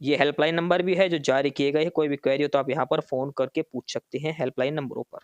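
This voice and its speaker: A man's voice: a little high, fairly even intonation, fast talker, no echo, lo-fi sound. A man presenting an informal explanatory walkthrough for students, instructive and repeating key points. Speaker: presenter explaining a job notification.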